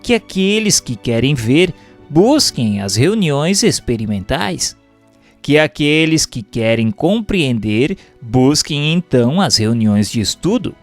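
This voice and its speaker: A Brazilian man narrates a written text in a mid-range voice. His delivery is formal and measured, like a teacher setting out principles. Soft music plays behind him. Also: medium pitch, sing-song. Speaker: narrator reading a spiritist book aloud. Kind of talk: scripted audiobook narration.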